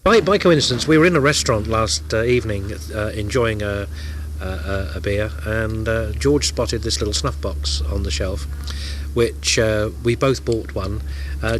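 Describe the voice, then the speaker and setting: A man who sells electronics kits, answering interview questions haltingly with many fillers. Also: mid-range voice, a little hiss or hum. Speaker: kit retailer.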